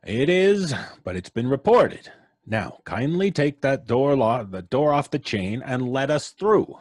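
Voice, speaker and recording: A man's voice: medium pitch, big pitch swings, a little slow, dry recording, studio quality. An actor playing a brusque, impatient tough city policeman in old-time radio suspense style, blunt, slangy and bossy. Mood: officious.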